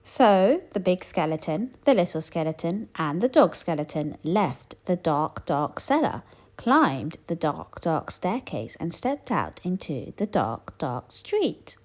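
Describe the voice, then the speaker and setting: Very animated woman reading a children's story. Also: on the low side, takes it slowly. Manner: animated, dramatic emphasis on repeated phrases. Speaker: storybook reader. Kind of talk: expressive children's story read-aloud.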